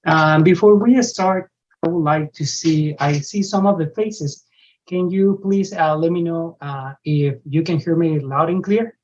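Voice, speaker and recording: Brief: man, strong Mexican accent, earnest parish coordinator, slightly high voice, muffled call audio. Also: a little slow, darkish tone. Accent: Mexican Spanish. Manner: earnest, repeats himself, frequent uh fillers. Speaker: parish religious education coordinator. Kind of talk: parent orientation talk over video call. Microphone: video call microphone. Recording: dull lo-fi video call audio.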